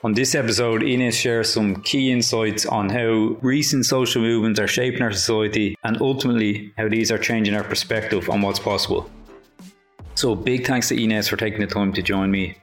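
A man with a fairly low voice hosts a podcast. He sets up the episode from a script, and faint music plays underneath. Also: moderate pace. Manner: friendly, scripted intro then relaxed questions. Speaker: podcast host. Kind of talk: podcast intro and interview.

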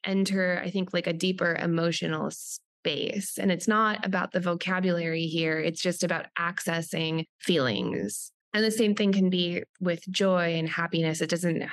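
Woman podcast guest, Polish native, fairly low voice, reflective and halting, dry sound. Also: fairly even intonation, dry recording. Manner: reflective, searching for words with many "like"s. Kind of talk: podcast interview.

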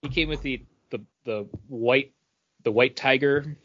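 A man co-hosting a podcast, telling casual nostalgic stories in an animated, slangy way and acting out quotes. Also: medium pitch, a little slow, muffled recording. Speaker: podcast co-host. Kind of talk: casual chat, nostalgic anecdotes.

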